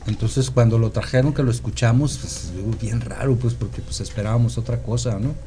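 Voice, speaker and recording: A Mexican man from Guadalajara talks casually in a relaxed, mellow voice. He tells his story haltingly, circling back and checking in as he goes. A faint noise sits behind him. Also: medium pitch. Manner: halting, meandering storyteller who seeks confirmation with "¿no?". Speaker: podcast guest, rock musician. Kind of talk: casual reminiscing conversation.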